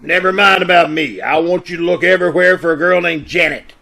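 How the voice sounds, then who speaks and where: Man acting a high, creaky, blustering police inspector. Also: a little fast, pushed and bright. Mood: brusque, bossy. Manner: blustering, sarcastic. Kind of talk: 1940s radio detective drama acting. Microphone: video call.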